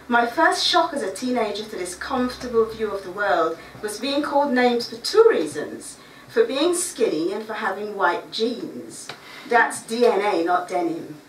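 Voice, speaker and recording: A middle-aged Guyanese woman reads a prepared talk at a stand mic on a small stage, measured and reflective. She is heard from well back in a roomy space, with faint room hum behind her. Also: medium pitch. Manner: reflective, measured reading with asides. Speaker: writer giving a talk. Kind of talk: reading a prepared talk aloud. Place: small dark stage before a seated audience. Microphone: stand mic, filmed by a far camera. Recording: roomy, distant camera recording.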